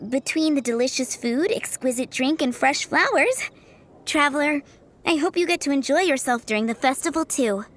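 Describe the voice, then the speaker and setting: A woman voicing an expressive, sentimental young townswoman in a video game. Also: high-pitched, moderate pace. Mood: wistful, warm.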